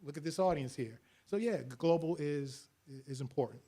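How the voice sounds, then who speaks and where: A man's voice: fairly high pitch, fairly quick, mellow. A man speaking as a panelist to an audience, earnest, chuckling now and then, with many fillers. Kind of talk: panel discussion.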